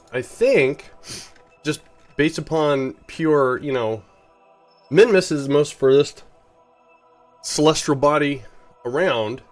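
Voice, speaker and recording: A man with a head cold giving rambling, casual commentary while live-streaming a space game, often correcting himself. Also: a little high.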